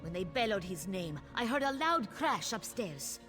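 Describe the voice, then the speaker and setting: A woman's voice: mid-range voice, very animated, moderate pace, a bit bright, faint music behind. A woman voicing an indignant, huffy female cat-folk shop clerk in a video game. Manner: huffy, speaks of self in third person.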